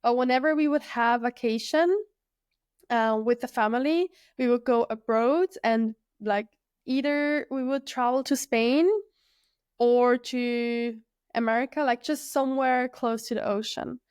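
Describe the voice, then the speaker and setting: A woman in her early thirties from Switzerland, a freediver guest speaking in a relaxed, thoughtful way with many fillers. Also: a little high, a little slow, clear, resonant tone, dry recording, clean studio sound. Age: 30s. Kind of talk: casual conversational interview.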